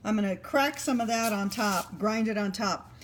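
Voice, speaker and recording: A woman's voice: mid-range voice, normal speed, background noise. A woman in her fifties chatting casually and rambling a little while she shows a dish in her home kitchen, laughing often. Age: 50s. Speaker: home cooking vlogger. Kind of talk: casual cooking demo talk.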